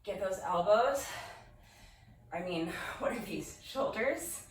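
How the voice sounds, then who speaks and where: A woman's voice: medium pitch, lively intonation, takes it slowly. A woman leading a home workout with a lingering cough and a phlegmy throat, counting reps and encouraging listeners. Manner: encouraging, counts reps aloud while exercising. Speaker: fitness instructor. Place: home room. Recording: very echoey room, distant mic, faint noise.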